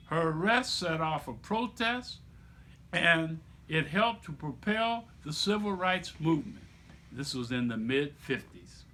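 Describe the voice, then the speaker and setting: A man reading aloud to children like a teacher, with deliberate, emphatic phrasing and explanatory asides. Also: high voice, fairly bright tone, slight background noise. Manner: deliberate, emphatic phrasing with repetition for young listeners.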